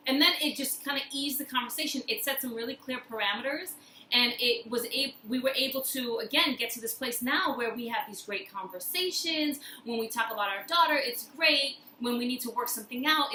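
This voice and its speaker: A woman with a fairly high, very bright voice gives a motivational talk as the host of her own video. She speaks emphatically, repeating key phrases to drive them home. The room adds a noticeable echo around her voice. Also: normal speed. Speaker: host of a personal-growth video series. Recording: roomy echo, faint background noise.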